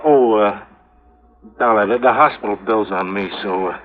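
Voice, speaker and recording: An American actor playing a beefy, fortyish low-level gangster in a 1950s radio drama, nervous and stammering in slangy, uneducated talk. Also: male voice, normal speed, close to an everyday voice. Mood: apologetic, flustered. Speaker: actor in a supporting role. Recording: tinny vintage radio broadcast.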